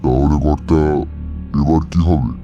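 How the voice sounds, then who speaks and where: A man as a very deep-voiced servile henchman. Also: steady tone, dark tone, muffled recording, faint music behind. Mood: puzzled. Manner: slow, servile questioning. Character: deferential, puzzled male henchman of a tantric.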